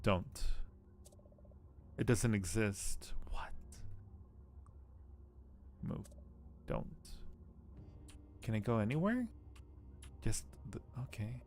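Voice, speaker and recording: A man streaming a game, reading the narration aloud and commenting casually in a low-key way as he thinks aloud. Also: very animated, very slow, pauses often, a little mellow, a little hiss or hum. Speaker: live streamer playing a game.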